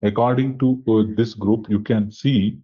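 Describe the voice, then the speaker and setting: Guy with low husky voice doing a software tutorial, talks thru each step, kinda dull audio. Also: fairly even intonation, measured pace. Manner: thinks aloud, asks himself questions and checks understanding. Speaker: software trainer.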